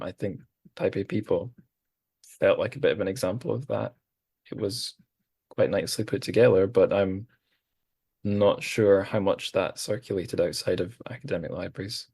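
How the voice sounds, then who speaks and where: Deep-voiced man hosting a casual chat. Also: a little mellow, husky. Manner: rambling, self-deprecating, many restarts. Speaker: podcast host.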